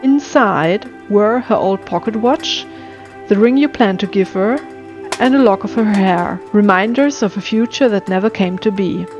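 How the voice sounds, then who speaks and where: Woman narrating a dark story over music. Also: medium pitch, measured pace, dull audio. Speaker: storyteller and channel host. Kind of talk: scripted dramatic story narration.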